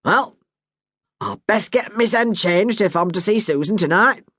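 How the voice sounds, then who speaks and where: A woman's voice: medium pitch, animated, unhurried, fairly bright tone, dry recording, clean studio sound, pitched above the performer's own voice. A woman voicing a good-natured young man, a would-be sailor, talking in a teasing, easygoing way. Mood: hesitant.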